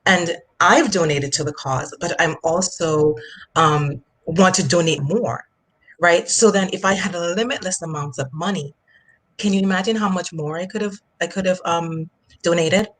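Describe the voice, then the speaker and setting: A woman's voice: fairly low pitch, normal speed, fairly bright tone, poor audio. A woman in her forties from Jamaica, with a Jamaican accent, talking earnestly as a finance coach guest in a casual interview, often repeating words as she thinks.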